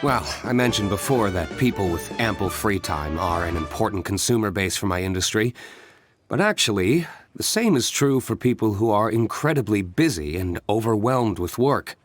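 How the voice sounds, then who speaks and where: An actor playing a composed, courteous young winery owner in a video game, measured and businesslike. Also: male voice, lowish voice.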